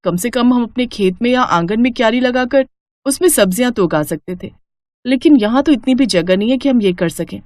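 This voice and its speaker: A woman's voice: a little high, lively intonation, fairly quick, darkish tone, no room sound, natural voice. Earnest, kind young cartoon housewife acted by a woman, polite delivery, very dry recording. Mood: thoughtful. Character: thrifty, hard-working young daughter-in-law, earnest and kind.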